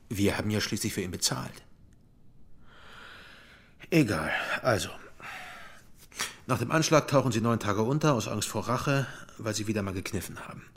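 An actor playing a condescending intelligence interrogator in a radio crime drama, sardonic and coolly formal. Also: male voice, fairly low pitch, slightly rough.

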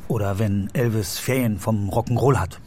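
A woman's voice: a bass voice, normal speed, warm tone, slight background noise. A woman playing a patient, slightly flustered police officer in a radio play, asking questions hesitantly. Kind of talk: German radio play acting.

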